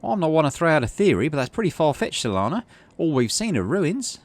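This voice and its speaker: A man's voice: on the high side, sing-song, fast talker, voice pushed up. A man voicing a friendly, matter-of-fact woman geologist in a video game, explaining things in a folksy way. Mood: skeptical.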